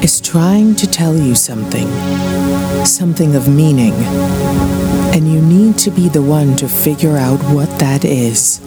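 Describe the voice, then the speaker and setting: An actress in a radio play voicing a calm, reassuring spirit medium who speaks in a measured, soothing, solemn way. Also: female voice, sits low, over loud music.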